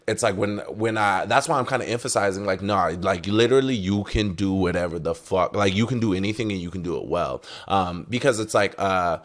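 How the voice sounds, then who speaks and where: Male podcast guest, low register, loose slangy delivery, circles back over phrases, curses freely. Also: fairly quick, hardly any pauses. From Salt Lake City area. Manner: rambling, repetitive, slangy, swearing freely. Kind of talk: casual conversation.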